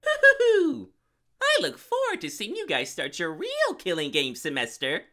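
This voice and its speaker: A man's voice: high voice, huge pitch swings, normal speed, sharp tone, exaggerated voice. A man voicing a gleefully sadistic, theatrical mascot bear with a mocking showman's manner in an anime fan dub. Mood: gleeful menace.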